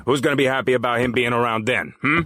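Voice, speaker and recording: A man's voice: mid-range voice, steady tone, quick pace, sharp tone, a little hiss or hum, lightly acted. A man playing a cold, menacing crime boss in an audio drama, speaking in a controlled, condescending and sardonic way. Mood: cold, threatening. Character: cold, menacing male crime boss hosting powerful guests. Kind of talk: scripted audio drama dialogue.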